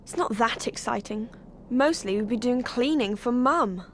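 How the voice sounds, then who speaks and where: A woman's voice: a little high, lively intonation, normal speed, slight background noise, close to an everyday voice. An actress playing a chatty, practical teenage witch in a video game, casual and matter-of-fact. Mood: casual, mildly put-upon. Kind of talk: scripted video game cutscene acting.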